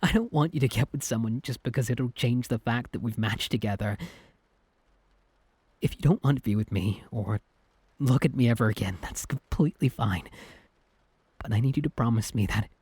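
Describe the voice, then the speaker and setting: A man playing an earnest, lovestruck Cupid in an intimate ASMR boyfriend roleplay, speaking softly and haltingly with a slightly husky voice. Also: medium pitch, no room sound. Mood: earnest, selfless. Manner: hesitant, earnest, tender, halting. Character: lovestruck male Cupid, anxious, selfless best friend turned boyfriend.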